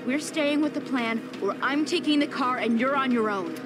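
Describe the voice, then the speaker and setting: An actress plays an earnest young woman with a high voice in a game cutscene. She is a stubborn idealist who speaks with conviction, and music runs clearly underneath. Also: moderate pace, natural voice. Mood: determined, pleading. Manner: earnest, principled. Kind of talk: video game cutscene acting.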